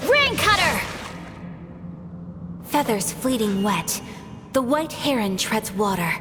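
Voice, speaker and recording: A woman's voice: a little high, sing-song, slow pace. A woman voicing a graceful, theatrical young swordswoman in a game, calling out battle cries and reciting verse. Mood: poetic. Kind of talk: game combat voice lines. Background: loud game music.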